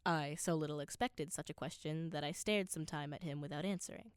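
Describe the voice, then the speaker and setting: A woman's voice: sits low, brisk, smooth, no room sound, clean studio sound, close to an everyday voice. A woman playing an earnest scholar who narrates an undersea voyage in a radio play, with precise, literary, dramatic delivery. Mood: measured, puzzled.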